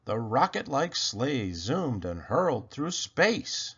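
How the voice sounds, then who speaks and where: A man reading a rhyming picture book aloud to children in a theatrical, deliberate way. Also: medium pitch, sing-song, a bit bright, slightly rough, lo-fi sound. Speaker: children's storybook reader.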